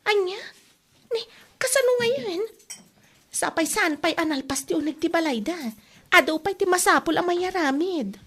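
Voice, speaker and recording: A woman's voice: very high-pitched, animated, normal speed, pauses often, a bit bright. A woman with an Ilocano accent playing a fretful, nagging wife in a comedy radio drama. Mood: dismayed.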